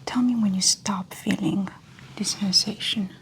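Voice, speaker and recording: A woman speaking in a breathy, whispery soft voice, gently and slowly giving instructions in an ASMR medical exam roleplay. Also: mid-range voice, fairly even intonation, a little hiss or hum, rough recording. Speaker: ASMR creator playing a medical examiner.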